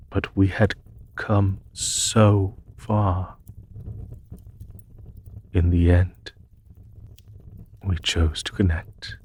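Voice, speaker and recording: A man playing a solemn, wistful demon emperor in an audio roleplay, speaking in a husky voice with slow, measured, sorrowful pauses. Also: lowish voice, a little mellow. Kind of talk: scripted audio roleplay monologue.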